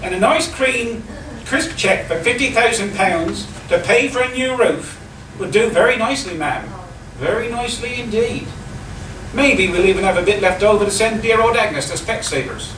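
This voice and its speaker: A man playing a sly newspaperman with polite menace in a live comedy radio play, measured and insinuating. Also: sits high, close to an everyday voice. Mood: smug. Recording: echoey distant live recording, faint noise.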